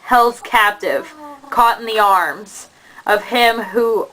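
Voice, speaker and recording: A woman giving a measured, dramatic narration of an epic poem as the storyteller of a puppet show. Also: fairly high pitch, fairly bright tone, rough recording.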